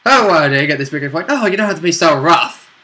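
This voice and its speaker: A man with a very bright, fairly high voice that moves around a lot in pitch. He is a player chatting casually about his game, chiming in keenly. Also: brisk. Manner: interjecting, animated agreement. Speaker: player in the role-playing game.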